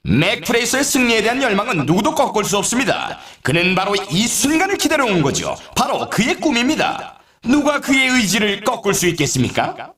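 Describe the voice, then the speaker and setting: An actor playing an excitable, showy snowboard race announcer in a video game, delivering scripted commentary with dramatic rhetorical flair. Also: male voice, high voice, a little fast, few pauses, full character voice. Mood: dramatic, eager. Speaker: sports announcer. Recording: roomy echo, distant from mic.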